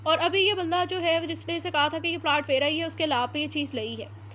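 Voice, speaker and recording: A woman with a Pakistani Urdu accent giving an explanatory law lecture, reading English statute text slowly word by word with frequent "uh" fillers. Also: high voice, pushed and bright, slight background noise.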